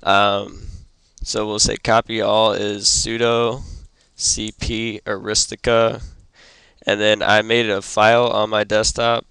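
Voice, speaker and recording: A young man who is sick and losing his voice, hosting a casual computer tutorial and stopping often with ums and throat clearing. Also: on the low side, flat delivery, takes it slowly, a bit bright, no echo. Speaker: tutorial host. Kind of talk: casual screen-recorded tutorial.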